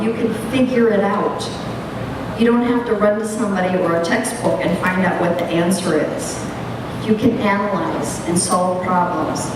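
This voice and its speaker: A woman teacher educator giving an earnest conference lecture, speaking emphatically and putting questions straight to the audience. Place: large echoey lecture hall. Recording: echoey hall, distant mic, rumbling noise.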